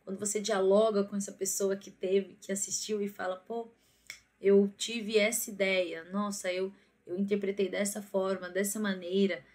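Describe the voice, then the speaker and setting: Young Brazilian woman presenting, halting and reflective. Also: mid-range voice, little pitch movement, a little mellow. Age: young adult. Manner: halting, reflective, often seeking agreement with tag questions. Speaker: student documentary maker presenting a project. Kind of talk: academic presentation, semi-spontaneous explanation.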